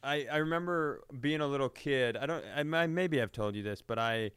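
Crisp-voiced man, dry close sound. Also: medium pitch, a little fast, fairly bright tone, no echo. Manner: crude, confessional joking. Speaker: comedy podcast co-host. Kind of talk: casual comedic chat.

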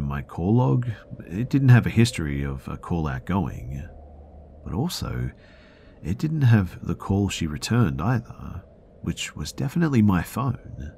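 A man with a deep voice narrating first-person scary stories for a podcast, often dropping to a hush. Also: gravelly, a little hiss or hum. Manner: hushed, slipping into whispers at tense moments.

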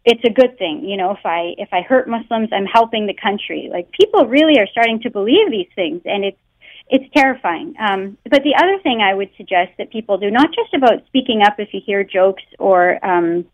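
A woman's voice: medium pitch, animated, brisk, dry recording. A Canadian woman, a guest researcher, speaks earnestly in a phone interview, often filling with "you know" and "um". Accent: Canadian English. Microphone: telephone. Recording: muffled phone line.

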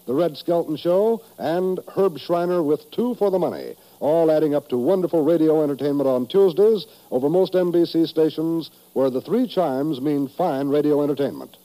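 A male American announcer in vintage 1950s radio style. His voice is a little high for a man and very dark in tone, with a polished promotional delivery. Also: big pitch swings, normal speed, poor audio.

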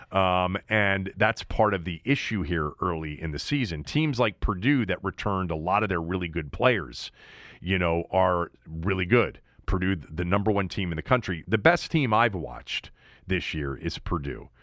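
A man with a very low voice hosts sports talk in an opinionated, off-the-cuff monologue. His delivery is conversational but emphatic, with big swings in pitch and key numbers repeated for weight. The sound is close and dry. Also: a bit bright, no echo. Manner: conversational, unscripted. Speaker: sports talk show host.

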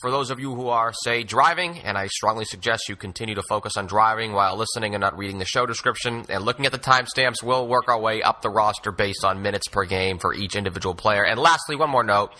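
A man's voice: fairly low pitch, fast talker, hardly any pauses, pushed and bright. A man hosting a sports podcast, talking basketball conversationally with wordy, wry asides.

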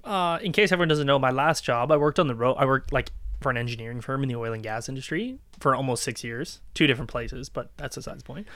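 A man's voice: medium pitch, fast, few pauses, fairly bright tone, no room sound. A young Canadian man chatting casually and telling stories in a rambling, colloquial way. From Ontario, Canada. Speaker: podcast guest and co-worker of the host. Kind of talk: casual chat, storytelling.

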